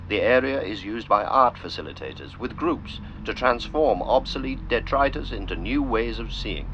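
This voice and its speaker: A man narrating a scripted art film in the manner of an old-style documentary, with measured, theatrical, deliberate phrasing. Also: fairly low pitch, bright, forceful tone. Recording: noisy, dull lo-fi narration track.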